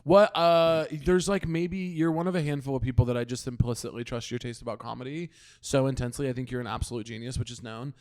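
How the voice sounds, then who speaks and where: Podcast host guy talking fast, clean smooth voice, chatty and playful, jokes at his own expense. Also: mid-range voice, darkish tone. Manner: chatty, playful, self-deprecating. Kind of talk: casual chat.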